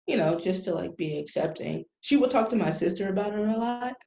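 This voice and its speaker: A woman's voice: mid-range voice, expressive, a little fast, creaky, dark tone. A young woman talking candidly and colloquially as a guest in a casual podcast conversation. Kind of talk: casual group chat. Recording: echoey room.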